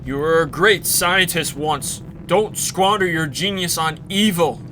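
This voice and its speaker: A man's voice: measured pace, natural voice. A man playing a stern, righteous male superhero in a campy audio drama, speaking in an earnest, commanding way. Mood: pleading sternly. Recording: noisy, rumbling engine and explosions behind.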